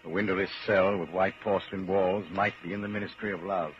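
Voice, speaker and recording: An actor as a grave, deliberate narrator telling a grim story in the second person, in 1940s radio drama style. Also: male voice, sits low, little pitch movement, close to an everyday voice. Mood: ominous. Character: grave, ominous male narrator addressing the hero. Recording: dull, hissy 1940s radio recording.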